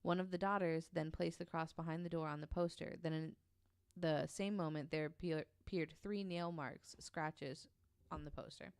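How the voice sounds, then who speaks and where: A woman's voice: low-pitched, fairly even intonation, normal speed, few pauses, smooth, dry recording. A woman telling a true story casually on a podcast, reading quotes aloud in an informal, hesitant way.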